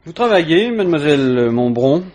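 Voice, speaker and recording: Husky, probing police inspector. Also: male voice, fairly high pitch, very animated, moderate pace, darkish tone. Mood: probing, dry. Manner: matter-of-fact, questioning. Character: probing, dry, methodical male police inspector. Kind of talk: 1950s French radio mystery acting.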